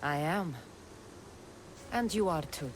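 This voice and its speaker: A woman's voice: fairly low pitch, animated, slow, a little hiss or hum. A woman voicing a tough, level-headed warrior woman in a video game, frank and firm in manner. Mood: reassuring. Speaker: investigator and fighter. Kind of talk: video game cutscene acting.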